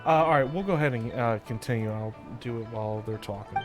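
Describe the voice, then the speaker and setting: A man livestreaming a game, chatting casually in a halting, repetitive way. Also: medium pitch. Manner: halting, repeats himself. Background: game music.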